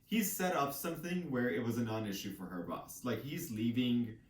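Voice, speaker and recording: A man's voice: medium pitch, normal speed, slight background noise. A man co-hosting a casual book chat, retelling a story in a loose, rambling way with bits of quoted dialogue. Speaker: co-host of a book discussion show. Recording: echoey room, distant microphone.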